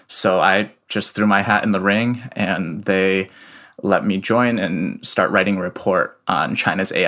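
Low-voiced young man explaining carefully. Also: fairly bright tone. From United States. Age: young adult. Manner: measured, explanatory, with some fillers. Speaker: guest, researcher. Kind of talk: remote interview answers. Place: home.

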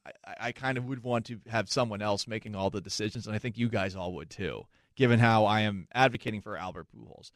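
A man's voice: mid-range voice, a bit bright, no echo, studio quality. A young man hosting sports talk radio in a chatty, self-mocking way.

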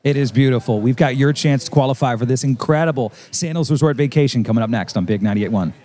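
A man's voice: mid-range voice, fast, dark tone. A man hosting a radio show on location outdoors by a pool, upbeat and enthusiastic as he interviews a guest. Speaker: radio host.

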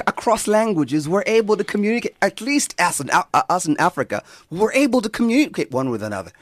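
A male radio host moderates a panel with an animated, assertive delivery. His pitch is fairly high and swings widely. The sound is dry. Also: quick pace, no room sound.

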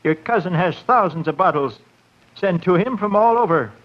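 An actor in a 1940s radio horror drama playing a strangely eager little fat collector, speaking in an insinuating way. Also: male voice, high-pitched, expressive, normal speed. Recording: muffled 1940s radio recording.

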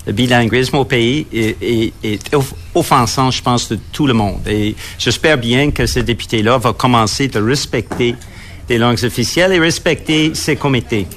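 A man member of parliament making a halting, indignant statement in committee. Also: mid-range voice, a bit bright, slight background noise.

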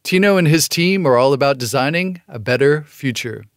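A man's voice: mid-range voice, lively intonation, moderate pace. A man hosting a radio show, reading an upbeat segment and interviewing a guest.

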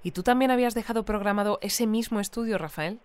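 Actress doing a smooth radio news lady for an audio drama, composed and articulate, super dry studio sound. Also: medium pitch, a little mellow, dry recording, natural voice. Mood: composed, inquiring. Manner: measured, articulate. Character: composed female radio newscaster interviewing guests. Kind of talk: scripted radio news interview.

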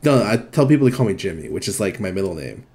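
A man's voice: low voice, very animated, fast, a little mellow. A man bantering casually as a co-host on a podcast.

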